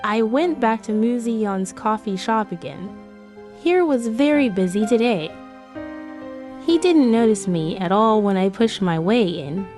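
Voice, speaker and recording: A woman's voice: fairly high pitch, measured pace, a little mellow, faint music behind. A woman giving a scripted first-person narration for a romance comic dub.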